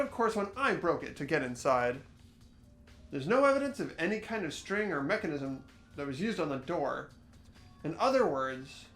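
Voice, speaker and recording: A man's voice: on the high side, fairly bright tone, echoey room. A man voicing an earnest, slightly hesitant teenage boy who reasons aloud as he narrates a game mystery. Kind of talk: fan voice-over of visual novel game.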